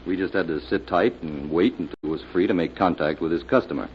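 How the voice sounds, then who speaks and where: An actor playing a desperate, sullen gangster in an old-time radio crime drama. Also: male voice, low voice, quick pace, slightly rough. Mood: sullen confessing. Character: desperate cornered male gangster turned sullen confessor. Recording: muffled, noisy old radio recording.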